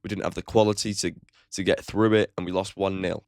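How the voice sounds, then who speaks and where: Deep gravelly guy doing a football match preview vlog, blunt and chatty, swears a bit, dry sound. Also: flat delivery, brisk, no room sound. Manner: opinionated and blunt, swears casually; chatty, with frequent "like" fillers. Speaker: football fan channel host.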